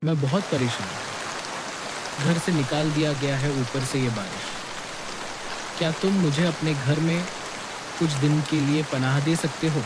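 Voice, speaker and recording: A man's voice: a little high, measured pace, long pauses, lightly acted. A man playing an earnest, desperate, poor evicted father in a moral cartoon story. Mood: desperate pleading. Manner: pleading, earnest. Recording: hissy, very loud rain noise.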